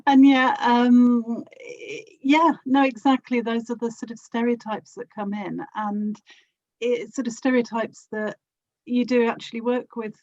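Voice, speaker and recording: British female interview guest, near-BBC accent, thoughtful explainer, mid pitch, dry but slightly muffled lo-fi sound. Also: little pitch movement, measured pace, a little mellow, dry recording. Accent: British, close to BBC English. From United Kingdom. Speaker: podcast guest, voice actor and audiobook narrator. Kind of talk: casual interview chat.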